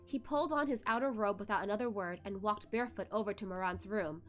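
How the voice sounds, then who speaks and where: A woman streamer reading a web novel aloud, narrating with animated, giggly asides. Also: medium pitch, fast talker, faint music behind. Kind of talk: read-aloud narration with live reactions.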